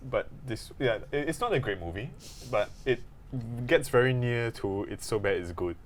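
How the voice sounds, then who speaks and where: A man's voice: medium pitch, expressive, normal speed, slight background noise. A man co-hosting a podcast, casually discussing films in an informal conversational style. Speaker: podcast co-host.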